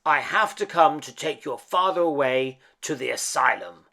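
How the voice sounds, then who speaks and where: A man's voice: on the high side, moderate pace, sharp tone, voice pushed up. A man voicing an officious storybook stranger with a blunt, declaring manner. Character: strange officious man come for an asylum patient.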